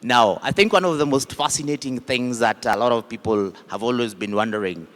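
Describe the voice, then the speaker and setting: A man introduces panelists from a conference stage in a courteous, formal way. His voice sits at an ordinary middle pitch. The sound carries a long hall reverb. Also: a little fast, fairly bright tone. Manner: formal, polite, repeats "kindly". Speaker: panel moderator. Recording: echoey hall.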